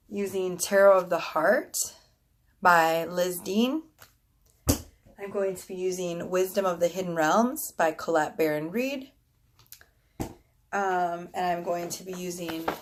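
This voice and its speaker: Scratchy-voiced woman tarot reader. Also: on the low side. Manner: rambling, apologetic, with frequent ums and whispered asides. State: congested from allergies. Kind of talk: casual tarot reading to camera. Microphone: phone camera.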